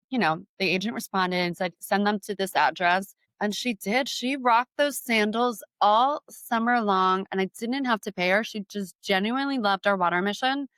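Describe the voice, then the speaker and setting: Young woman guest, clear, smooth voice, dry close mic, chatty storyteller. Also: medium pitch, fairly bright tone, dry recording. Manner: candid storyteller, frequent "like" fillers. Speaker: guest entrepreneur. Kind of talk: interview conversation.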